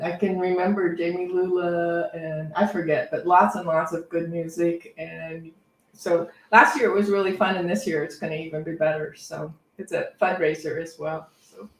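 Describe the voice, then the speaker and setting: A woman co-hosting a church service, giving casual announcements in a conversational, informal way. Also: fairly low pitch. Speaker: service co-host, minister. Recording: roomy, distant microphone.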